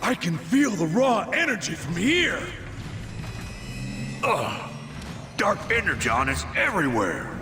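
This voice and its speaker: A man voicing a brash robot soldier in a video game, excitable and shouting with urgency. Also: sits high, slow, long pauses, exaggerated voice. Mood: alarmed. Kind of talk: dramatic video game voice acting. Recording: echoing voice under loud music and effects.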